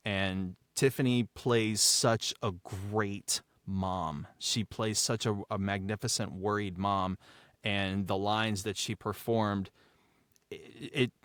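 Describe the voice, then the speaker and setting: A man's voice: fairly low pitch, steady tone, takes it slowly, a bit bright, clear, resonant tone, dry recording. A man chatting casually and enthusiastically as a podcast creator, effusive and repeating phrases for emphasis. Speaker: show creator and writer. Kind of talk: casual behind-the-scenes podcast talk.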